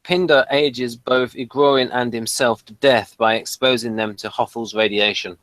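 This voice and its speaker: A man with a mid-range voice hosts a review discussion in a dry, echo-free recording. He moves between reading summaries aloud and informal, slightly halting talk. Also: medium pitch, fairly even intonation, a bit bright. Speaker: podcast review host.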